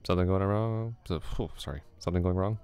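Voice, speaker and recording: A man playing a laid-back flirty classmate, very deep. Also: quick pace, a little mellow, clean tone, natural voice. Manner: casual, easygoing, chuckles often. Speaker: ASMR roleplay voice actor. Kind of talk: ASMR roleplay, soft close talk.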